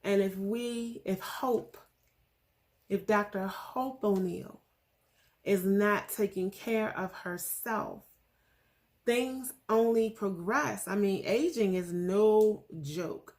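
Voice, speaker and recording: A woman in her forties talking earnestly about her faith in a casual vlog, pausing often with fillers. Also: medium pitch, a little mellow. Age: 40s. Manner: earnest, halting with many fillers. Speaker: vlogger.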